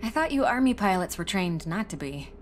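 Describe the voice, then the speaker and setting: An actress playing a sharp, curious scientist on a space mission in a video game, articulate and earnest. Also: female voice, mid-range voice, big pitch swings, brisk, a little hiss or hum, close to an everyday voice. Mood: wry, nervous. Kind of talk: video game cutscene acting.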